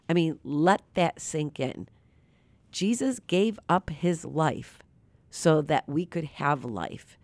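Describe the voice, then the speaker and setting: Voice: mid-range voice, no room sound. A radio talk show host with a nasal, tired voice, talking earnestly and loosely about faith with many fillers, live from a studio. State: tired from little sleep.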